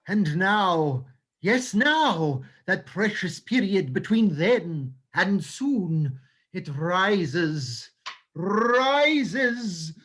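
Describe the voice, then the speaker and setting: Boozy narcissistic owl, grandiloquent, hammy, unhurried, stage play reading. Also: medium pitch, fairly bright tone, full character voice. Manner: grandiloquent, florid, hammy dramatic delivery. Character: pompous, theatrical, drunken narcissistic owl. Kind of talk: dramatized stage script reading.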